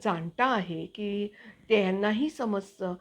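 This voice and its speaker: A woman hosting her own channel, chatting to viewers in a casual, explanatory way with hesitant pauses as she finds her words. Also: medium pitch. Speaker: actor and video channel host.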